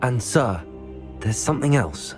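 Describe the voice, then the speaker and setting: An actor playing a polite, earnest young wizarding student in a video game. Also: male voice, mid-range voice, big pitch swings, a little slow. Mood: earnest, reporting. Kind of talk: video game cutscene acting. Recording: music behind the voice.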